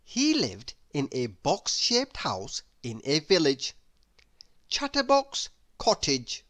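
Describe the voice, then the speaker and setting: A woman narrates a children's story in a low voice. Her pitch swings very widely, and she stretches repeated words for deliberate emphasis. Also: huge pitch swings, a bit bright. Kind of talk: expressive children's read-aloud narration.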